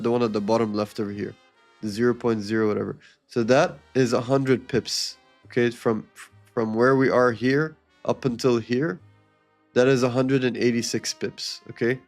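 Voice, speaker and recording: A man's voice: medium pitch, darkish tone. Man coaching viewers through live trading. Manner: coaching, repeats "okay?" to check understanding; reads viewer comments aloud and answers them. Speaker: livestream trading host. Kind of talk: casual live-stream commentary to chat.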